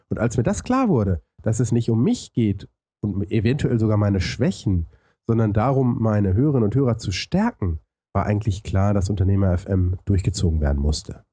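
A man's voice: fairly low pitch, dark tone, husky, no echo. A man with a lisp telling his story as a podcast interview guest, explaining point by point with frequent hesitations.

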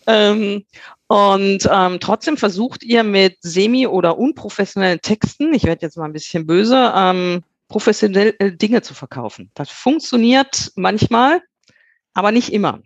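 Male copywriting expert, higher register, dry close sound, direct and blunt. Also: expressive, unhurried, no echo, muffled recording. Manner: direct, punchy, many filler sounds. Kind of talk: advice to listeners in a podcast.

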